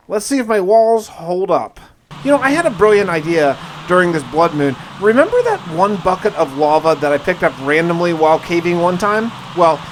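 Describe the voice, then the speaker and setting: Higher-voiced man narrating a game diary. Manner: conversational, storytelling. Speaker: gaming video host and player. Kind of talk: first-person roleplay narration of a survival game. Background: music.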